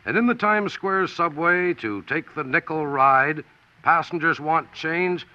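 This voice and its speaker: A man's voice: a little high, expressive, a little slow. An American man reading scripted 1940s radio documentary narration in a punchy, dramatic manner. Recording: dull vintage 1940s radio broadcast.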